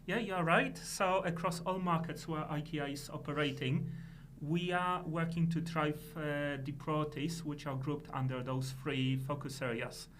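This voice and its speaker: A middle-aged man from Poland with a Polish accent, answering interview questions as a sustainability manager in halting, measured phrases. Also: on the high side, steady tone.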